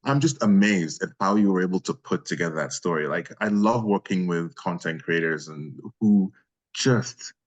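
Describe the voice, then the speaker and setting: A man with a deep bass voice, speaking casually and effusively as a voice actor being interviewed. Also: slightly rough.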